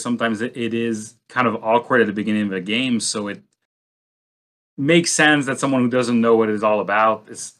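A man in a casual discussion, speaking haltingly and thinking aloud as a panelist. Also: mid-range voice, fairly bright tone.

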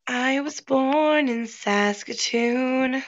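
A woman in her late twenties joining as co-host, playful and quick to get defensive, swearing a lot. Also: sits high, muffled recording. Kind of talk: casual chat.

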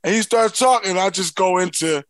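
Animated Philly storyteller, higher voice. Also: male voice, normal speed, dry recording, studio quality. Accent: Philadelphia. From Philadelphia. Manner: animated storyteller, laughs loudly at his own stories. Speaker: co-host. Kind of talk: casual podcast chat.

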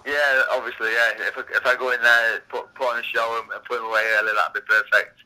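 A man's voice: medium pitch, flat delivery, quick pace, sharp tone, rough recording. A man of thirty with a Yorkshire accent, a fighter chatting as a guest, often repeating words as he restarts sentences. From England. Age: 30s. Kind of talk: casual phone interview.

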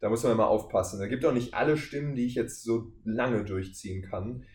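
A man's voice: mid-range voice, brisk, a little mellow. Casual man in an echoey room. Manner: informal, explanatory. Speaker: guest, voice actor and audio drama maker. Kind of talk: casual podcast interview. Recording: echoey room, faint background noise.

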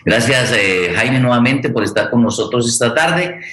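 A Colombian man hosting a forum in a halting, conversational way, searching for words, in an echoey room. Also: mid-range voice, expressive, fairly bright tone. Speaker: host, theatre director and playwright.

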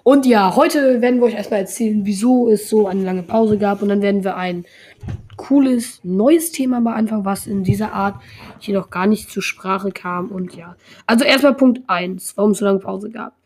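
Young woman, teens, husky tone, casual podcast host, rambling, lots of fillers. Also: mid-range voice, normal speed, darkish tone. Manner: rambling, heavy on filler words.

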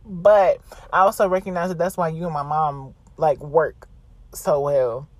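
A woman's voice: deep voice, steady tone, a little slow, darkish tone, no room sound. A woman podcast host chatting casually and confessionally, blunt, slangy and freely swearing.

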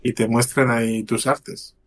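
A man from Galicia co-hosting a podcast, speaking in a measured way as he introduces sections and steers the talk. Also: medium pitch, level tone. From Galicia, Spain. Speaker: podcast co-host. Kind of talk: casual podcast chat.

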